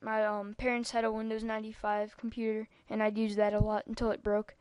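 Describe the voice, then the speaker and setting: A woman's voice: on the high side, monotone, brisk, darkish tone, smooth, no room sound. A young-sounding female speaker giving a rambling, casual computer tutorial over a screen recording, with lots of ums and asides. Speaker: tutorial maker.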